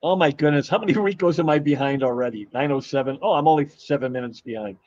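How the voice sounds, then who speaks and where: A man hosting a live stream, chatting casually and greeting viewers in a rambling, halting way while his voice is going hoarse. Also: a little high, a little mellow, no echo, muffled recording. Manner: rambling, halting, with many fillers and restarts. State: losing his voice. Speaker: livestream host.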